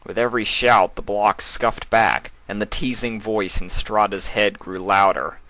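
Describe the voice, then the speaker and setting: A man's voice: on the low side, steady tone, a little slow, bright, forceful tone, no room sound. A man reading a fantasy story aloud as its narrator, in a dramatic scripted style. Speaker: fan fiction narrator.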